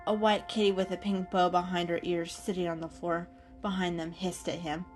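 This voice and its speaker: A woman's voice: on the low side, flat delivery, moderate pace. A woman narrator giving a scripted, dramatic reading of an animal story. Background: music.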